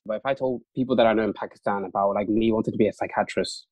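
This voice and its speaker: A man's voice: fairly low pitch, fairly even intonation, darkish tone, no room sound, muffled recording. A young man whose first language is Urdu, talking casually as an interview guest, with many fillers and restarts. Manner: fast, chatty, lots of fillers and restarts. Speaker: interview guest, junior doctor.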